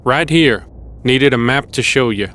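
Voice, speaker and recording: A man acting a gruff old survivor. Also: medium pitch, measured pace, a bit bright, lightly acted. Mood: guarded, conciliatory. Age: older. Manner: folksy, plain-spoken. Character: gruff, wary old male survivor of a dystopia. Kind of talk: audio drama acting. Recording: clear background noise.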